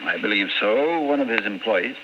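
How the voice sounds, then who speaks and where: A male actor playing a formal, measured, matter-of-fact physician in an old-time radio drama. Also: on the low side, animated, natural voice. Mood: courteous, curious. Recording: muffled, faintly noisy old radio recording.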